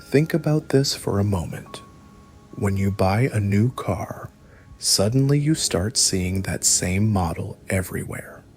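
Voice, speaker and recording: A man narrating a scripted guided sleep hypnosis in a soothing, measured way, with breathy phrase endings. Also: lowish voice, expressive, darkish tone. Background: background music.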